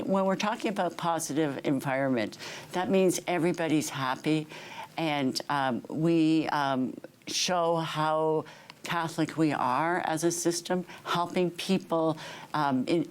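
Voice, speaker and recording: A woman's voice: sits low, unhurried, a little hiss or hum. A woman answering interview questions as a trustee candidate, earnest and hesitant, with frequent fillers.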